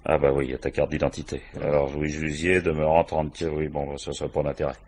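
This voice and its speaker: Deep-voiced, world-weary police commissioner. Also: male voice, level tone, fast, a little mellow. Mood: ironic, probing. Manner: colloquial, muttering, dryly ironic. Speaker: actor playing a police commissioner. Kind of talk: French radio crime drama acting.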